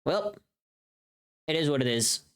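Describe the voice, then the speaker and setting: A woman hosting a casual livestream, chatting with viewers in a playful, teasing, sweary and expressive way. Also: deep voice, unhurried. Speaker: livestream host.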